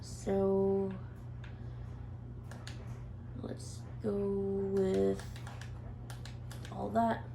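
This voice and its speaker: A woman's voice: medium pitch, extremely slow, gravelly, a little hiss or hum. A woman with a head cold, sounding nasal, chatting casually through a gameplay session with lots of ums. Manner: relaxed, hesitant with many ums. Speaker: gameplay commentator. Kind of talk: casual let's play commentary. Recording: echoey room with faint ambience.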